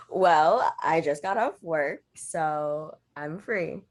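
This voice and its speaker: A woman plays an inquisitive reporter in an amateur audio drama. Her voice is a little low for a woman, and her delivery is light and good-humoured. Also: lively intonation, very slow, heavily acted. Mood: curious, amused. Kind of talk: fan audio drama table read.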